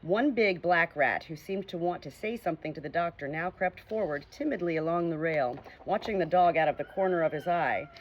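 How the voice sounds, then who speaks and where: A woman reads a children's storybook aloud in a clear, steady voice. Her pitch sits on the low side, and faint background noise runs under her reading. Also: fairly quick, few pauses, dull audio. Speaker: audiobook narrator.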